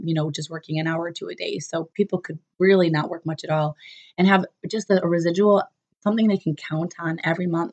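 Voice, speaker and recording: A woman with a cold that makes her voice sound lower and rough, giving a chatty, upbeat recap as a business coach with plenty of fillers and restarts. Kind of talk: casual solo recap talk to camera.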